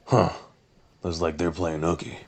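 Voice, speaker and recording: A man acting a wary, grumbling high school tough, a reluctant sidekick in a fan audio drama, gruff and laconic, with some background noise. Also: level tone, a little slow. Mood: dry.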